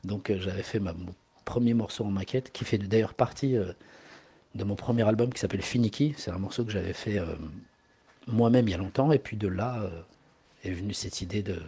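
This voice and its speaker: Husky low-voiced man, halting. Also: warm tone, dry recording. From Morocco. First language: Arabic. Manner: hesitant, many fillers and repeated words. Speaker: singer. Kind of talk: interview answers.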